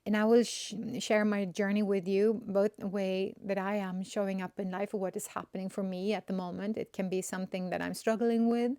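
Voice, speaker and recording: A woman with a Swedish accent, a spiritual coach and healer, talking gently and searchingly in an unscripted inspirational monologue. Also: medium pitch, level tone, fairly quick, hardly any pauses, clear, resonant tone, no echo, clean studio sound. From Sweden. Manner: gentle, searching, with restarts and soft fillers.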